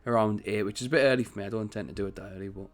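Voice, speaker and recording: Chatty man with a croaky voice. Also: fairly low pitch, steady tone, racing. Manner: chatty, rambling, self-correcting. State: croaky morning voice. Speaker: gaming channel host. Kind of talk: casual strategy game commentary.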